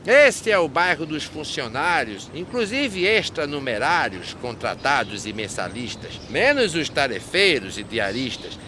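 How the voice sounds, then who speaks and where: Bright, swooping, sardonic gravedigger. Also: male voice, a little high, sing-song, a little slow, few pauses, a little hiss or hum, exaggerated voice. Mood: sardonic, declaiming. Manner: declamatory, rhyming, sardonic. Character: grumbling, sardonic male cemetery gravedigger. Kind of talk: animated film verse dialogue.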